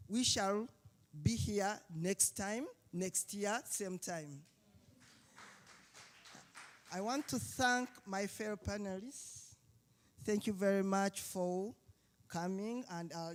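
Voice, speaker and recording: A speaker from Uganda, giving a halting panel talk with many fillers and restarts. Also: medium pitch. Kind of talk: summit panel speech.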